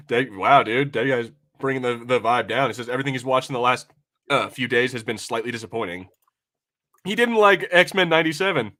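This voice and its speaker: A man's voice: medium pitch, moderate pace, bright, forceful tone. A man hosting a casual chat, opinionated and teasing, swearing freely as he comments along with viewers. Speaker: podcast host.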